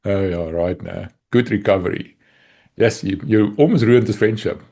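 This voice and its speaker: A man speaking English with a clear Afrikaans accent. His voice sits low and has a husky edge, and he chats in a relaxed, teasing way. He sounds a touch tired, as if it is late at night. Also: normal speed. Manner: easygoing, teasing, thinks aloud. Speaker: podcast co-host. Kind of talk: casual chat.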